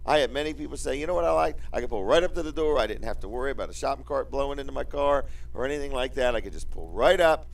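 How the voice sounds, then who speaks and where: A man's voice: medium pitch, lively intonation, rapid-fire, gravelly, few pauses, recorded from a distance, rough recording. An American man hosting a casual promotional radio chat in a folksy, repetitive salesman's manner with joking questions. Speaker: radio show host and business owner.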